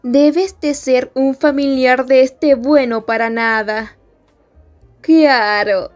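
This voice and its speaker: A woman playing a haughty, mean high school bully girl in an ASMR roleplay, with a taunting, scornful delivery. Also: fairly even intonation, slow pace, soft background music. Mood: mocking. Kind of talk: Spanish ASMR roleplay acting.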